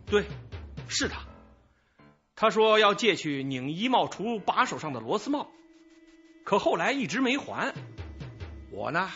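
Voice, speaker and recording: Radio drama part: middle-aged male informant, high bright voice, wordy, evasive, self-excusing. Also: sing-song, a little slow, long pauses, highs cut off, faint music behind, full character voice. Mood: explaining. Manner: chatty, rambling, sighing, hedging.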